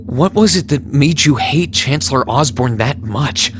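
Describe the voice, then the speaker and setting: A young man of serious, brooding temper, played by a male game actor, speaks with insistent sincerity in a mid-pitched voice. He sounds like an earnest academy student in a game cutscene, with faint music underneath. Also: expressive, a little slow, natural voice. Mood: earnest, pleading. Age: young adult. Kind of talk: video game voice acting.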